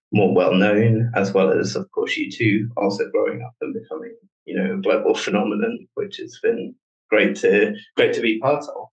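A man, the guest, explaining his work in a relaxed, conversational way during an interview. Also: low-pitched, reverberant, highs cut off. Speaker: guest, technology director.

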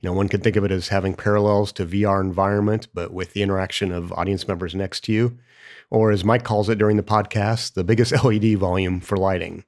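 A man hosting a podcast, hoarse from the flu, reading a subdued introduction. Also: deep voice, steady tone, fast, a little mellow. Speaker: podcast host. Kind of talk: scripted intro.

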